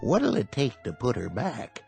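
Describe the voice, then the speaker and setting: An actor playing a timid, henpecked widower with a gravelly voice and a hesitant, folksy manner in a radio drama. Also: male voice, mid-range voice, wildly swooping pitch, measured pace, a little mellow, faint music behind, exaggerated voice. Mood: sheepish, hesitant. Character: timid, henpecked male widower, comically reluctant.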